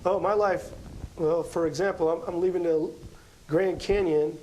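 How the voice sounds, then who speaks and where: Mellow, high-voiced American man explaining. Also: steady tone, normal speed, a little hiss or hum, low-quality recording. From Las Vegas, United States. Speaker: guest astrology card reader. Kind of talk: casual interview chat.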